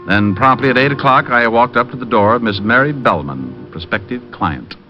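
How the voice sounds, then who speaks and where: An actor playing an unflappable private detective in an old radio show, wry and deadpan. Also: male voice, mid-range voice, normal speed. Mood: wry narration. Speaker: lead and narrator. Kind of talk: 1940s radio detective narration and acting. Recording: muffled old radio recording. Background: music.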